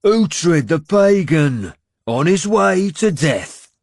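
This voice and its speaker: A male narrator acts out a cruel, boastful warlord in a historical audiobook. He snarls and sneers, pitched well up and pushed loud, in a close, dry recording. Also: big pitch swings, takes it slowly, gravelly, no echo, exaggerated voice. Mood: gloating. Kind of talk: audiobook character acting.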